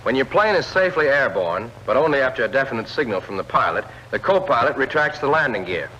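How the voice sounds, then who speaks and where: Bright-voiced man narrating vintage training film. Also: medium pitch, sing-song, fast talker, slight background noise. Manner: clear, instructive, addressing the pilot directly. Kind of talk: scripted instructional narration, 1940s military training film. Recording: old film soundtrack, dull lo-fi band.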